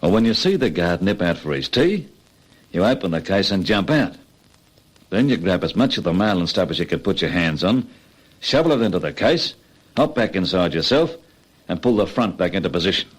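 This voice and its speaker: An actor playing a cocky, impatient Australian criminal ringleader in an old radio crime drama, talking colloquially and persuasively. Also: male voice, low voice, very animated, brisk, husky, exaggerated voice, poor audio. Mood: insistent, scheming.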